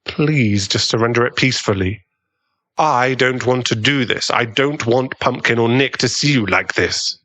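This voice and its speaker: A man playing an earnest, kindly government agent in an audio drama, with a measured, avuncular way of talking. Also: mid-range voice, bright, forceful tone, lightly acted. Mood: grave, pleading.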